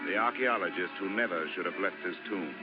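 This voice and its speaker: A man's voice: deep voice, brisk, natural voice. An actor playing a wry, hardboiled male insurance investigator, narrating in a dry, wisecracking 1940s radio drama style. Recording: muffled 1940s radio recording with music.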